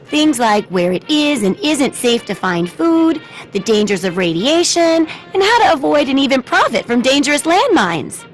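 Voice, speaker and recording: Perky, high-pitched eccentric wasteland woman. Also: big pitch swings, normal speed, soft background music. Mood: chirpy, eager. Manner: perky, chatty, singsong questioning tags. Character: cheerful eccentric wasteland shopkeeper and amateur researcher, young woman. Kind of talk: video game dialogue.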